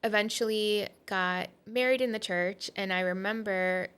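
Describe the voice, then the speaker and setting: A woman's voice: mid-range voice, unhurried, fairly bright tone, smooth. A woman in her thirties from Portugal, a podcast guest candidly telling her faith story with a lot of "um" and "like". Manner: candid and reflective, with frequent "um" and "like". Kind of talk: personal testimony in conversation.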